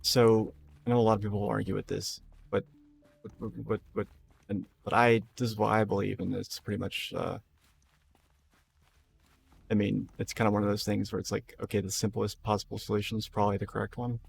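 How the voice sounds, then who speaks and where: A tired, hoarse man streaming a game, rambling in loose casual talk and swearing freely. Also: fairly low pitch, little pitch movement, brisk, noisy background. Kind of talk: casual live-stream chat.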